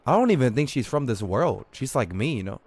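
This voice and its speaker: A man's voice: mid-range voice, sing-song, a little fast, a little mellow, a little hiss or hum. A man giving casual live commentary as a game streamer, blunt and informal, with some swearing. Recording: faint game music behind.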